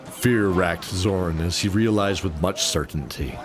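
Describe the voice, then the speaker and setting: A man's voice: low voice, a little slow, background noise. A man giving descriptive, scripted narration of a fantasy adventure as the narrator.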